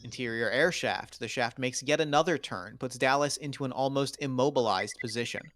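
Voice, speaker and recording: A man's voice: medium pitch, brisk, a bit bright, slight background noise. A man narrating screenplay action lines at a table read in short scripted statements.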